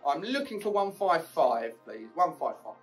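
Upbeat English auctioneer calling bids. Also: male voice, on the high side, sing-song, recorded from a distance, soft background music, rough recording. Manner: brisk, upbeat, encouraging bidders. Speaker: property auctioneer. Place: small auction room with banner backdrop. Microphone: podium mic, filmed from a distance.

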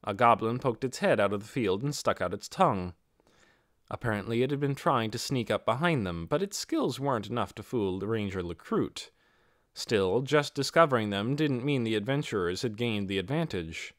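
A man's voice: lowish voice, expressive, normal speed, a bit bright, no room sound, studio quality. A man reading a fantasy novel as a scripted audiobook narration.

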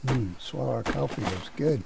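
A man's voice: medium pitch, measured pace, gravelly, background noise. A man with a Southern US accent giving casual, folksy commentary over a survival game he is playing. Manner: relaxed, folksy drawl with regional words. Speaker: gaming YouTuber.

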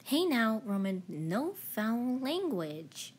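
A woman playing a chirpy, fatherly, pun-loving man in a comic dub, playful and gently chiding. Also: on the high side, sing-song, slow, very noisy, voice pushed up. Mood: gently scolding. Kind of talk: fan comic dub reading.

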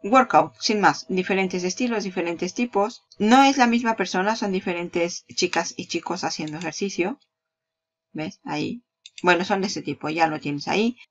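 A woman's voice: fairly low pitch, moderate pace, lo-fi sound. A woman giving a casual, chatty walkthrough of a product page, talking straight to the viewer and laughing at herself. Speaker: marketing YouTuber.

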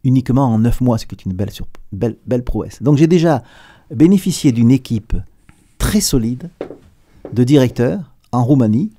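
A middle-aged man with a southern French accent, a company director giving an animated, hesitant radio studio interview with repeated words. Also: medium pitch, normal speed. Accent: southern French, Provençal. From southern France. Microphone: table mic with headphones.

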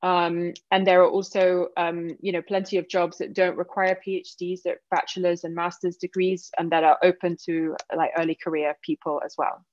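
A woman in her thirties giving a relaxed seminar talk over a video call, with many fillers. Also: fairly low pitch, normal speed, few pauses, dry recording, muffled recording. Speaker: guest speaker.

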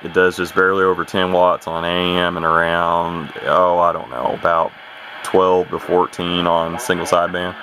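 A man's voice: sits low, little pitch movement, normal speed, very noisy. A man casually showing off an old radio on camera, folksy and rambling with lots of fillers and restarts. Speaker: radio hobbyist showing his gear. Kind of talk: casual show-and-tell, off the cuff. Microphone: camera mic, speaker off screen behind the camera.

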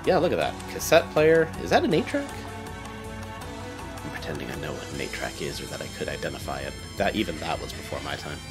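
A man's voice: a bass voice, wildly swooping pitch, brisk, loud music behind the voice. A man casually commentating a game he plays, chatty and self-deprecating, sometimes reading dialogue aloud. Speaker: let's play host.

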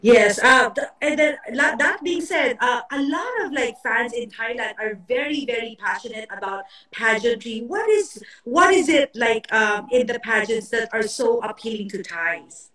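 A chatty woman hosts an interview over a video call, eager and full of filler words. Her voice is slightly high and bright. She sounds distant from the mic in a very echoey room. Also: animated, moderate pace, hardly any pauses. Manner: warm, enthusiastic, many fillers. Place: indoor home room.

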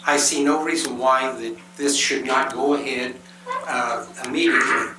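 A man in his seventies, a retired electrician, giving earnest plainspoken public comment at a council meeting with many uh pauses. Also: a little high. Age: 70s. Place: large council chamber. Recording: echoey chamber with background noise.

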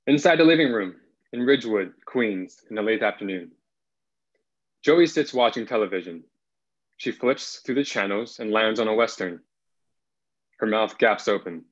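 A man's voice: fairly low pitch, moderate pace, long pauses. A young man speaking as the narrator of a table read over a video call. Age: young adult. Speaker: narrator and actor. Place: plain room at home. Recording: dull video-call audio.

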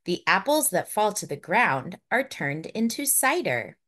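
A woman narrates a children's picture book at a slow, careful pace. Her mid-pitched voice swings expressively up and down. She sounds like a storyteller spelling things out for young children. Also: sing-song, a bit bright.

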